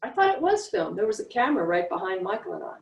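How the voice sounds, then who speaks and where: A woman's voice: mid-range voice, big pitch swings, quick pace. A woman chatting casually on a video call. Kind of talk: casual chat. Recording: roomy, dull video call audio.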